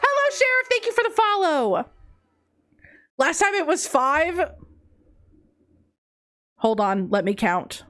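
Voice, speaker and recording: Woman streamer, high and very animated, unhurried delivery, playful and teasing, slightly congested. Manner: playful, teasing, laughs often, swings between high squeals and low mutters. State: congested, runny nose. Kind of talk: casual live-stream chat reading confessions.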